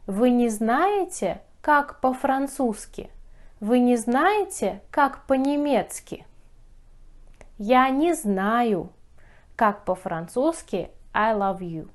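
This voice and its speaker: A woman from Russia teaching a language lesson, speaking carefully and repeating phrases for learners. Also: a little high. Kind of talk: slow, clearly enunciated language lesson.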